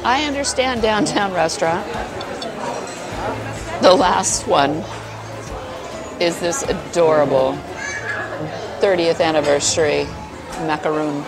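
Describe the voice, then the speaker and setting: A woman's voice: on the low side, measured pace, pauses often. A woman casually reviewing food to camera in a playful, exclaiming way, chatting between bites. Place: busy buffet restaurant, indoors. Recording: busy restaurant chatter with music behind. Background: crowd chatter and music.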